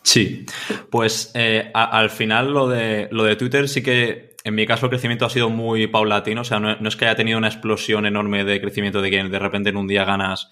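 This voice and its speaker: A man's voice: on the low side, little pitch movement, a little fast, sharp tone. A man from Mallorca with a Spanish accent, answering casually as a podcast guest, rambling with repeated words and tag questions. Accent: Spain. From Mallorca, Spain. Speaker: podcast guest, freelance content creator. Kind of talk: casual conversational interview answers.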